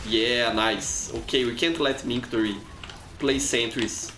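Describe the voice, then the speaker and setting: A man's voice: mid-range voice, steady tone, measured pace, roomy sound, background noise. A man from Brazil, a native Portuguese speaker with a Brazilian accent, chatting casually while streaming a game and often restarting his words. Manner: chatty, frequent restarts and repeated words, reads chat aloud. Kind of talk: casual live gameplay commentary.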